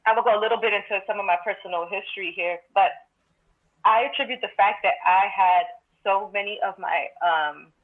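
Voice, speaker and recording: A woman from Brooklyn talks as a podcast guest, thinking out loud in a reflective way with many restarts. Her mid-pitched voice comes through a thin, phone-like remote call line. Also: fairly quick. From Brooklyn, New York, United States. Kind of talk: casual podcast conversation.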